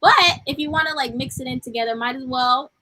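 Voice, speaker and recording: A woman's voice: high-pitched, brisk, sharp tone, breathy edge, poor audio. A woman with a small, tiny voice co-hosting a review show in casual, chatty commentary. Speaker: co-host of a wrestling review show.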